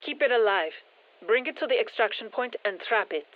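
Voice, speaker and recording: An actress playing a brisk, focused female military scientist giving clipped mission instructions over a radio in a video game. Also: a little high, lively intonation. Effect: radio filter. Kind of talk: scripted game mission briefing. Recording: tinny band-limited radio transmission.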